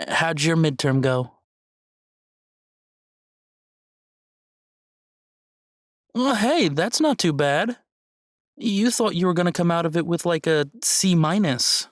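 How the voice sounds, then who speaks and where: A gentle, tentative young female student voiced by a woman in an audio drama. Her voice sits low for a woman, and she speaks in a conciliatory, hesitant way. The recording is dry and close. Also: animated, moderate pace, dry recording, natural voice. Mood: friendly.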